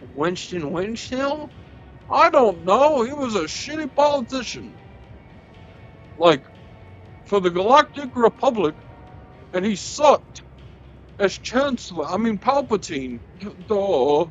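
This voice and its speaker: A man's voice: high-pitched, very animated, slow, long pauses. A man vlogging in a rambling, joking way, cursing freely and stumbling over his words. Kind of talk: rambling comedic monologue. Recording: slightly dull sound with faint music.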